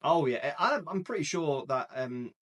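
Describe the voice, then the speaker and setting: A man co-hosting a casual film podcast, talking in quick bursts with restarts and laughs. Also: a little high, animated, fairly bright tone. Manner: chatty, frequent restarts and laughs. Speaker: podcast co-host. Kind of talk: casual chat.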